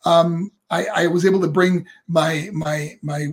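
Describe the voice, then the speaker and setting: A middle-aged man with a raspy voice, a guest and former wrestler rambling through stories with lots of fillers. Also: fairly high pitch, fairly even intonation, a little slow. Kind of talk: casual interview storytelling.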